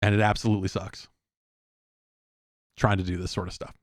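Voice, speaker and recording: A man's voice: lowish voice, little pitch movement, a little fast, no room sound, studio quality. A man hosting a solo podcast in a casual, rambling, conversational way, with a blunt, sardonic edge. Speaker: podcast host.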